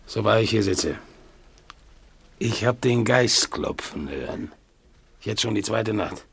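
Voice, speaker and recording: A man's voice: on the low side, animated, takes it slowly, vocal fry, a bit bright, slight background noise, exaggerated voice. A blunt, worried working man. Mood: grave. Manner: blunt, emphatic, earnest. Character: plain, worried working man troubled by a ghost. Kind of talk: 1950s German radio play acting.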